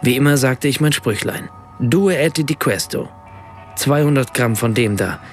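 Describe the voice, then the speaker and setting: Radio play lead: actor as thoughtful male writer telling his story, medium pitch, light music behind. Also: normal speed, close to an everyday voice. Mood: reflective. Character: reflective German writer, a father recounting his shopping. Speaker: first-person narrator. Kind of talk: scripted radio play narration.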